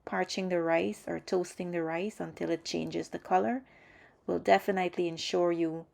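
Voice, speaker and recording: Low-pitched woman giving cooking instructions. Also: steady tone, moderate pace, creaky, a little mellow. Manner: orderly step-by-step explaining. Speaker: cooking channel host.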